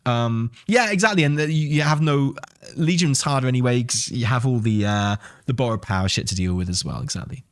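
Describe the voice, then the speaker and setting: A man's voice: medium pitch, fairly quick, no room sound. A man talking casually as a gaming stream host, rambling and enthusiastic, with some swearing. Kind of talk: casual livestream chat and commentary on game news.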